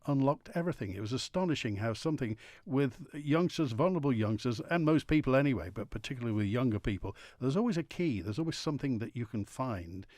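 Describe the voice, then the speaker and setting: A man's voice: mid-range voice, expressive, quick pace, few pauses, darkish tone, dry recording. A man hosting a live radio phone-in, chatty and peppering his questions with uhs.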